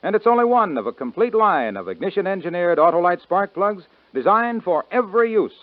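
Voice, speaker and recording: Peppy ad guy, sing-songy sales pitch, thin dry old radio sound. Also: big pitch swings, no room sound. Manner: brisk, upbeat sales pitch. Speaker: commercial announcer. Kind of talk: scripted radio advertisement. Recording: tinny, dry 1950s radio broadcast.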